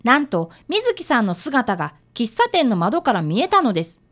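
A woman's voice: a little high, very animated, moderate pace, a bit bright. A woman playing an earnest, polite young boy apprentice detective in a radio drama, narrating and talking formally. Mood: earnest, observant.

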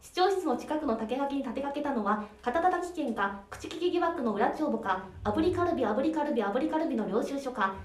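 Very high-voiced woman, echoey room. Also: lively intonation, fairly quick, few pauses. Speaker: voice actor, contestant on a web show. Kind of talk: casual game-show chat and tongue-twister recitation.

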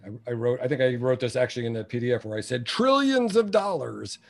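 A man's voice: medium pitch, lively intonation, fast talker, gravelly. A man in his early sixties talking as an interview guest, giving blunt, opinionated advice and anecdotes in punchy, emphatic, colloquial phrasing. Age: early 60s. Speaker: interview guest, business coach.

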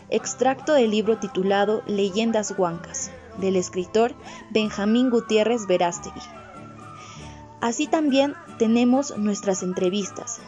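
A woman's voice: fairly high pitch, warm tone. A woman with a Peruvian accent hosting a podcast, reading a scripted programme introduction. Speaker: podcast host. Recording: dull lo-fi voice recording.

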